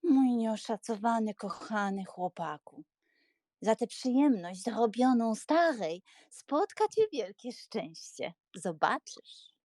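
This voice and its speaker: Elderly noble aunt, coaxing, affectionate, unhurried, very melodic, audiobook drama. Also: female voice, fairly high pitch, animated, darkish tone, no echo, studio quality. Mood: doting, coaxing. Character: doting, scheming elderly aristocratic aunt.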